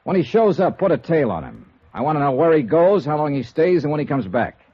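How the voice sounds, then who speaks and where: An American man playing a brisk, wry hard-boiled insurance investigator in 1950s radio noir style, clipped and dry. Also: a little high, sing-song, breathy edge. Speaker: lead actor and narrator. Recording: dull old radio recording, faint music.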